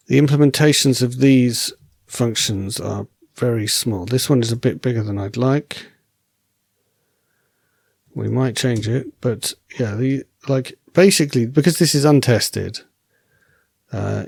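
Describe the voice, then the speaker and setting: A man thinking aloud while writing code as a programming tutor, relaxed and wry, correcting himself as he goes, and losing his voice. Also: mid-range voice, a little slow, vocal fry, no room sound, clean studio sound.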